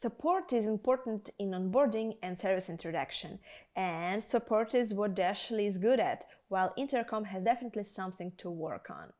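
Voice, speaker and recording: A woman's voice: mid-range voice, animated, normal speed, darkish tone. A young adult woman presenting a scripted promotional product review, addressing viewers directly with rhetorical questions. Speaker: video presenter. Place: indoor studio with plain backdrop.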